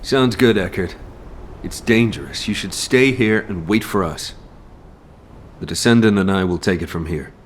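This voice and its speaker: Man playing a low-voiced, resolute soldier. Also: normal speed, slight background noise, natural voice. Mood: commanding. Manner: terse, resolute, commanding. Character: determined, battle-hardened male soldier leading a covert mission. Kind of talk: video game voice acting.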